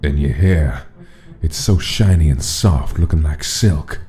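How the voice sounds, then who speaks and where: Man voicing a deep, dark, rough skeleton mobster. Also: measured pace. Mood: mocking, flirtatious. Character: menacing male skeleton mobster, mockingly flirtatious. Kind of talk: dramatic comic dub acting. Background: music.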